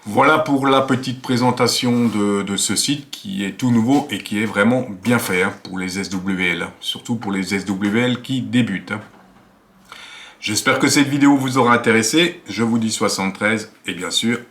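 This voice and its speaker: Guy in his 50s, kinda low voice, casual explainer video host, chatty with lots of little tag words. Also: moderate pace, a bit bright. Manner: frequent "hein" tags, conversational and explanatory. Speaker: amateur radio video host. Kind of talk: casual tutorial presentation. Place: small attic home office, indoors.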